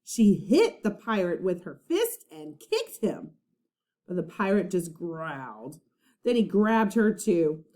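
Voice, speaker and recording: A woman reading a children's storybook aloud like a teacher, with expressive, dramatic narration. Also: medium pitch, takes it slowly.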